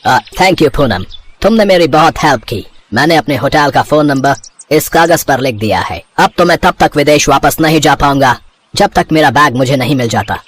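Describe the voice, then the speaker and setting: A man's voice: fairly high pitch, animated, normal speed, a bit bright. An actor playing a polite, earnest foreign businessman in a cartoon moral story, hesitant and mixing in English phrases. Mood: grateful.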